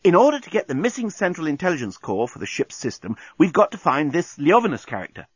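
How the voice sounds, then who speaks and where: A brisk young Earth woman in a comic space story, acted out by a man, matter-of-fact and plain-spoken. The pitch is lifted and the recording slightly dull. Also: very animated, breathy edge, no room sound. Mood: brisk, resigned.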